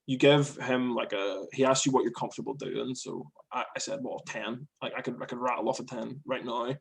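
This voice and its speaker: A man's voice: mid-range voice, poor audio. A man from Northern Ireland, a stand-up comic, chatting casually over a video call, rambling with frequent laughs and repeated words.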